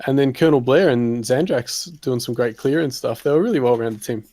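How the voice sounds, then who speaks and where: A man's voice: mid-range voice, fairly quick, mellow, no echo. A man giving a casual, upbeat recap of a team. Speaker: co-commentator.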